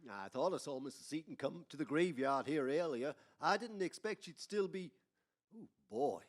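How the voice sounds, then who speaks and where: A man's voice: fairly high pitch, expressive, moderate pace. A man playing a nervous, folksy cemetery caretaker in an old-time radio drama, with hesitant rustic speech. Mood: uneasy. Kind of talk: 1940s radio drama acting.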